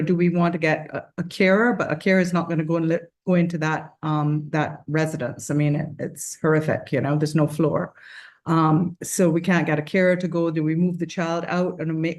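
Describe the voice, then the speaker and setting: A woman's voice: sits low, darkish tone. A woman, speaking on a panel as a charity founder, earnest and rambling with many fillers and restarts. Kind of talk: casual panel discussion.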